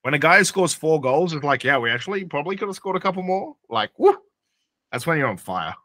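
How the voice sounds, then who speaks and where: A man co-hosting a casual sports podcast, excitable and quick to laugh. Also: fairly high pitch, dry recording. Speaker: podcast co-host.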